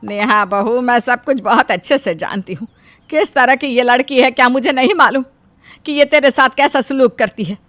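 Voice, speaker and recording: A woman's voice: a little high, normal speed, a bit bright, dry recording, lightly acted. A woman playing a scolding, bossy mother-in-law in a cartoon story. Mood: annoyed. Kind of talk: Hindi cartoon story voice acting.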